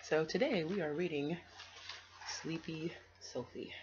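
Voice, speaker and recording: A woman's voice: low-pitched, unhurried. A woman from the United States narrating a children's picture book aloud, with casual asides. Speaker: storytime host reading aloud. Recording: dull, noisy home recording.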